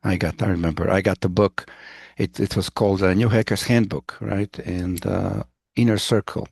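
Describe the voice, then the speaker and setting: A man's voice: low-pitched, steady tone, normal speed, creaky, a little mellow, husky, dry recording, studio quality. A man teaching as a professor on a video call, talking in a rambling, anecdotal way and often restarting his sentences. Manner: rambling, anecdotal, self-interrupting. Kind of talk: online class introductions.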